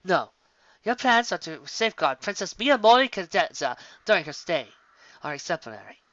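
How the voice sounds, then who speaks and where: A stately, ancient alicorn princess who weighs every formal word, voiced by a man pitching his voice up, crisp and bright in tone. The recording is dry and dull, with a lo-fi edge. It sounds like a storybook reading done at home. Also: big pitch swings, no room sound, heavily acted. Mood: grave. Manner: formal, measured royal diction.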